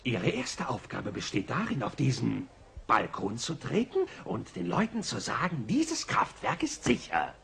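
Raspy, scheming old tycoon. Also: male voice, mid-range voice, huge pitch swings, a bit bright, full character voice. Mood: smug. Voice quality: raspy, husky. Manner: pompous, drawn-out, commanding. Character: frail old male power-plant tycoon, sly and imperious. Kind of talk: cartoon audio play acting.

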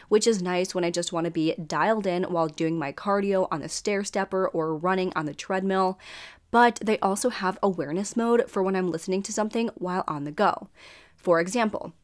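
A young woman in her twenties hosting a true crime show, reading her script to camera in a small room at home, her voice a little scratchy and stuffy from a dry throat. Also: mid-range voice. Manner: earnest, measured storytelling.

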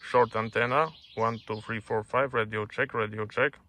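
A man making repetitive radio test calls in deliberate, clipped phrases. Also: on the low side, steady tone. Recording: faint noise, clicks, radio test audio.

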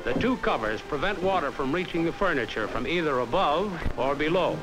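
An American man reading a scripted 1950s training film narration in a measured, instructive, carefully enunciated way, on a vintage film soundtrack. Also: on the high side, expressive, low-quality recording. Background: background music.

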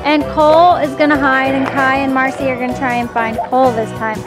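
A woman's voice: high voice, flat delivery, a little fast, over music. A woman casually explaining and giving children instructions as she films a toy demo and games outdoors in a yard. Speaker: parent filming and guiding children's play.

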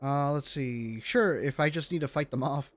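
A man's voice: on the high side, moderate pace, heavy background noise. A man streaming a game, commenting casually in a laid-back, joking way and reading dialogue aloud. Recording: game music clearly behind. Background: video game music.

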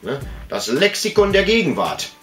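A man's voice: a little high, wildly swooping pitch, normal speed, a bit bright, soft background music. A middle-aged man giving a casual, rambling show-and-tell as a video host, chatty and colloquial, with frequent tag questions. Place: indoor room full of collectibles.

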